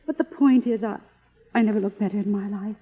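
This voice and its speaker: An actress playing an imperious, vain, middle-aged faded film star in melodramatic old radio drama style. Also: female voice, mid-range voice, fairly even intonation, fairly quick, husky, close to an everyday voice. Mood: elated, grand. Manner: grandiose, melodramatic, commanding. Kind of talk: 1950s radio drama acting. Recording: dull old radio recording, reverberant.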